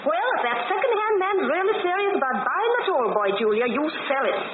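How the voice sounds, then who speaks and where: An actress playing a practical, encouraging small-town housewife, brisk and folksy, in 1940s radio acting style. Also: female voice, very high voice, very animated. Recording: tinny, very noisy, echoey old radio.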